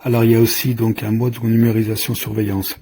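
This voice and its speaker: Low, creaky-voiced man demonstrating a product. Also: monotone, fast talker, dark tone. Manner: hesitant, improvised explaining. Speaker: product reviewer. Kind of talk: informal hands-on product demonstration.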